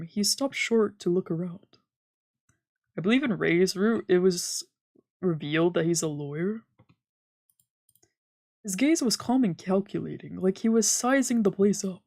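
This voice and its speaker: A woman's voice: medium pitch, a little mellow, no echo, clean studio sound. A woman streamer narrating a visual novel aloud in a let's play, reading dramatically and sometimes stumbling over words.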